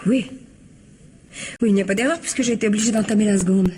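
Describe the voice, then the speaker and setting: A woman playing an earnest, softly hesitant widow confiding in the police in a radio mystery drama. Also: medium pitch, fast, a little hiss or hum, close to an everyday voice. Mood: troubled.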